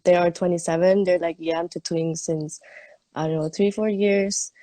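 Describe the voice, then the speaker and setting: A woman's voice: lowish voice, fairly even intonation, moderate pace, mellow, no echo. A woman, chatting informally as a guest and searching for English words. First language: German. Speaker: guest, tattoo artist. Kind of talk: casual interview chat.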